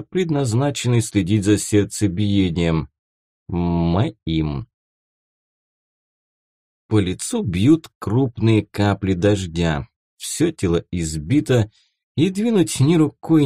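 Male audiobook narrator for first-person fiction, mellow low voice with very varied intonation. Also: no room sound, studio quality.

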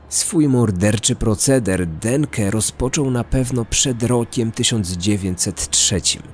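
Lowish-voiced male true-crime narrator. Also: slight background noise. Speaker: narrator, podcast host.

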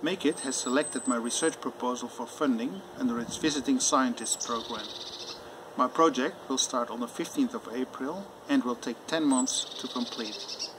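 Dutch guy presenting his project outside, steady and prepared, birds and bugs in the background. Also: mid-range voice, normal speed. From Netherlands. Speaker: visiting researcher. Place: outdoors in front of an ivy-covered wall. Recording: outdoor noise with birds and insects.

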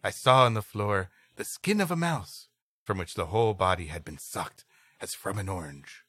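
A man's voice: deep voice, expressive, normal speed, sharp tone, no room sound, studio quality. A man co-hosting a comedy podcast, reading an old adventure story aloud expressively and dropping in playful asides. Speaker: podcast co-host.

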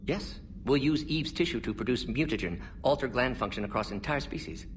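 An actor playing a brisk older alien scientist in a video game, speaking in clipped, clinical sentences without articles. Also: male voice, medium pitch, expressive, recorded from a distance, a little hiss or hum, natural voice. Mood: clinical, insistent.

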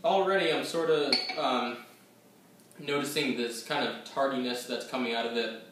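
A young man talks to the camera about a whiskey, rambling and hesitant, with many fillers and restarts. His voice sits at an ordinary pitch but rings in a very echoey room. He sounds a little distant from the mic, with faint ambient noise underneath. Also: moderate pace. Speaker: whiskey reviewer. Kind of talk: casual tasting review to camera. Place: small room at home, seated at a table.

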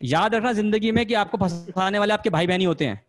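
A young man teases his audience with quick, laughing banter, his voice pitched high and the words tumbling fast. He speaks into a mic in a room at home. The video call line carries a steady hiss behind him. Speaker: stand-up comedian hosting a video-call show. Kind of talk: crowd work banter. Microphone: stand mic in frame. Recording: noisy video call audio.

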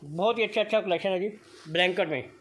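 A man's voice: high voice, fast, fairly bright tone, a little hiss or hum, low-quality recording. A man filming a shop walkthrough on his phone, praising the goods in upbeat, repetitive sales patter. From Punjab, India. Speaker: shop video vlogger. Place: indoor blanket shop.

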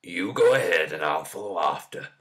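A woman voicing the Gruffalo, a big slow-witted male monster, with a deep, slow, drawn-out growl. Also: lively intonation, a bit bright, roomy sound.

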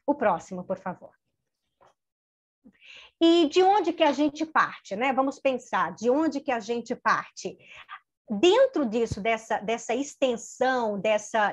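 A middle-aged woman giving an academic lecture over a video call, pausing with fillers and restarting her phrases. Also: a little high. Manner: hesitant, many fillers and restarts. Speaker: keynote speaker. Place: home office with bookshelves. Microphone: video call webcam. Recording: dull lo-fi video call audio.

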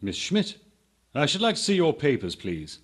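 An actor playing a cool, authoritative military police major with a clipped, dry manner in a radio drama. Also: male voice, a little high, wildly swooping pitch, moderate pace, breathy edge, exaggerated voice. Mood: official, cool.

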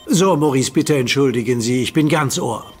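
An actor playing a calm, firm hero who talks patiently and commands in a radio play. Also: male voice, medium pitch, moderate pace, slightly rough, faint music behind. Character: calm heroic young man, firm leader.

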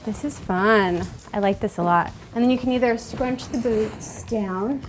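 A woman's voice: on the high side, a little slow, music in the background. A woman stylist talking enthusiastically about outfits during a fitting in a studio. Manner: enthusiastic, upbeat. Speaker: fashion stylist. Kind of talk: casual chat.

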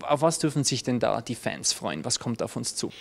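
A young man hosts a TV music show with an upbeat, smooth presenter delivery. His voice sits in a middle range, and a handheld mic picks him up in a stone-walled music venue. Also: mid-range voice, sing-song, a little fast, fairly bright tone. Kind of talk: TV interview hosting.

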